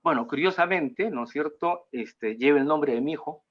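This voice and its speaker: A mature man with a Peruvian accent gives an informal talk in a halting way, often tagging phrases with a question seeking agreement. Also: on the high side, muffled recording. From Peru. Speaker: guest speaker at a cultural talk. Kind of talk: informal lecture in an online talk.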